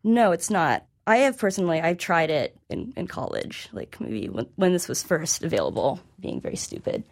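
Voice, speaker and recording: A woman's voice: fairly low pitch, very animated, moderate pace, vocal fry, few pauses. A woman reporter speaking as an interview guest, explaining informally in a hesitant, conversational way.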